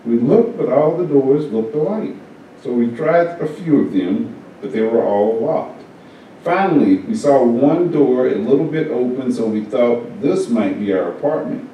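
A man reading a first-person story aloud at a church service, in a measured, unhurried way. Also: medium pitch, animated, dull audio, a little hiss or hum. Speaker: service reader. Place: church meeting room. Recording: very echoey hall, mic far off.

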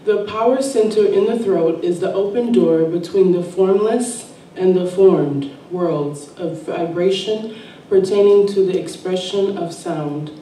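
A woman reading a spiritual text aloud at a church service, slow and measured. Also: fairly low pitch, warm tone, slight background noise. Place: church sanctuary. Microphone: distant room mic. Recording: echoey sanctuary from far off.

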